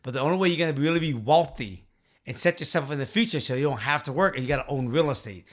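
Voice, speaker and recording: A man in his fifties telling his story to the camera in a small home office, earnest and emphatic, into a boom-arm studio mic. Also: expressive, fast talker. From Vietnam. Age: 50s. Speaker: real estate investor and channel host.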